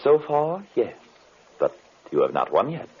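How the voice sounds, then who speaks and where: An actor playing a wary, defiant magician hero in old radio horror, speaking in a formal, solemn, theatrical way. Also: male voice, mid-range voice, huge pitch swings, measured pace, slight background noise. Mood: wary, challenging. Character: defiant, wary male magician, sworn enemy of the villain. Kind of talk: 1930s radio horror acting. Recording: muffled vintage radio recording.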